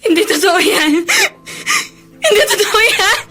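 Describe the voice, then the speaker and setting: A woman's voice: very high-pitched, sing-song, a little slow, pushed and bright, soft background music, heavily acted. An actress playing an emotional, hot-tempered young female university student in a Filipino radio drama. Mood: tearful, indignant. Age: young adult.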